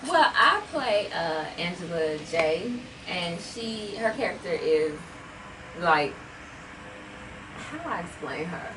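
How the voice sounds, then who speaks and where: Slow, lively-pitched woman actor, distant mic. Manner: slow and searching for words. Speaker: actor and guest. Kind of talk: casual interview chat. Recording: distant mic with noisy background.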